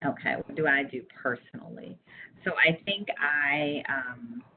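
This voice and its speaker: A woman's voice: low voice, a little slow, faint music behind. A woman being interviewed over the phone, talking casually and informally about her work, with lots of "like". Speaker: interviewee, community engagement program staffer. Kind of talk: casual research interview, conversational. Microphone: phone line. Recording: muffled lo-fi phone call, clear noise.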